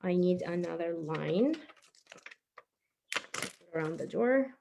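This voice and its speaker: A woman's voice: on the low side, animated, slow, gravelly. A woman presenting a craft for young children, talking casually and encouragingly as she thinks aloud through each step. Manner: chatty, encouraging, thinking aloud while working with hands. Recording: faint background noise, small clicks.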